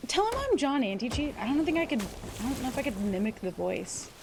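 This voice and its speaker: A woman livestreaming a game, giving chatty, excitable commentary and swearing freely. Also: sits high, brisk, noisy background. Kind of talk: casual gameplay commentary.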